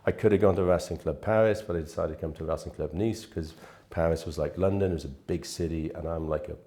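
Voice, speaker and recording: A man in his fifties telling stories from his life in a relaxed, chatty interview, often restarting his sentences. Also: deep voice, fast. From Wales. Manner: chatty, rambling with frequent restarts. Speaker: interviewee, real estate manager. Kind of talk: relaxed anecdotal storytelling.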